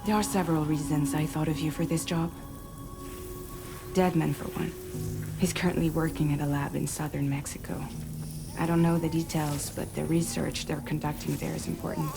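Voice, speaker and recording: A woman playing a grave, guarded leader of a courier group in a sci-fi game, speaking in a measured, businesslike way. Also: low voice, level tone, gravelly, over music. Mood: earnest, grave. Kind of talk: cinematic game dialogue.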